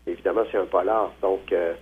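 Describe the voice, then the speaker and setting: Invité masculin, voix grave et voilée, hésitant, ligne téléphonique grêle. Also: male voice, low-pitched, fairly even intonation, quick pace, husky. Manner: hesitant, frequent fillers and restarts. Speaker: novelist guest. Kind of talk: phone interview. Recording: tinny phone line.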